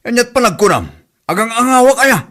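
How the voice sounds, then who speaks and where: A man's voice: extremely high pitch, big pitch swings, a little fast, fairly bright tone, exaggerated voice. A man with an Ilocano Filipino accent playing an exasperated, grumbling working husband in a radio comedy drama.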